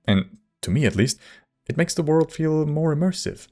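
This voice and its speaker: A man reading scripted video essay narration in a measured, wry manner. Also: on the high side, animated, dark tone.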